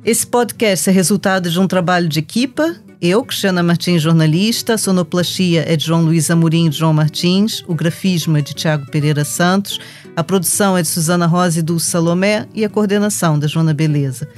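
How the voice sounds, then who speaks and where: A Brazilian-born woman journalist hosting a podcast interview, reflective and warm, often restarting her phrases. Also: on the low side, brisk, soft background music.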